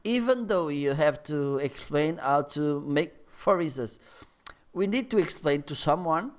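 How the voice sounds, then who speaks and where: Male, non-native English speaker, higher-than-average pitch, conversational, halting, slips into short acted-out dialogues. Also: expressive. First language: not English. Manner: halting, searching for words, acts out short dialogues. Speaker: marketing trainer. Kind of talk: casual conversational talk.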